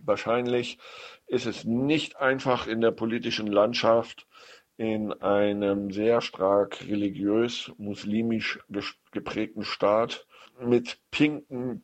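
A man reviewing films on the radio in an unscripted, halting way, searching for words. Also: fairly low pitch. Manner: halting, hesitant delivery with searching pauses. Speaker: film critic on a radio show. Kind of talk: unscripted radio film recommendations.

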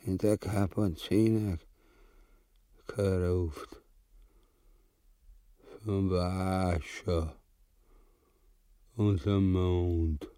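A man's voice: sits low, fairly even intonation, very slow, long pauses, warm tone. An actor playing a pompous, cowardly, gluttonous king in a radio farce, with a broad Austrian accent and grandiloquent, crude manner. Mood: drowsy, muttering. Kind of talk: theatrical radio play acting in broad dialect. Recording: reverberant studio radio play.

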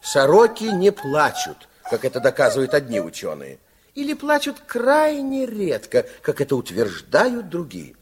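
High-pitched, very animated male storyteller. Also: a little slow, husky. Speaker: narrator. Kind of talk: dramatic storybook narration for radio.

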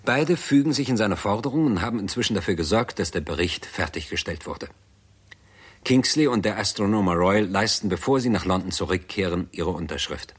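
An actor narrating a 1960s German radio play in a sober, formal, report-like manner. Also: male voice, fairly low pitch, lively intonation, a little fast, breathy edge. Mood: sober, factual.

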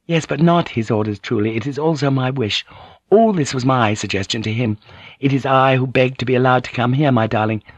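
A man's voice: medium pitch, a little fast, no echo, voice pushed up, close to an everyday voice. A man voicing a devoted, proud young noblewoman, tender yet fiery, with formal, archaic, earnest speech in an audiobook. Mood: loving. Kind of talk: audiobook character dialogue.

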